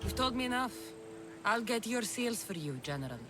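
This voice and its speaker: A woman's voice: on the high side, sing-song, normal speed, over loud music. An actress playing a bold, wry, blunt female mercenary in a video game cutscene. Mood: wry, businesslike.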